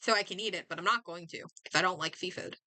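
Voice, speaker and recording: A woman's voice: on the low side, fast talker, bright, forceful tone, no echo, low-quality recording. A woman game streamer chatting casually as she plays, muttering and thinking aloud to herself. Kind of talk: casual livestream chat while playing a game.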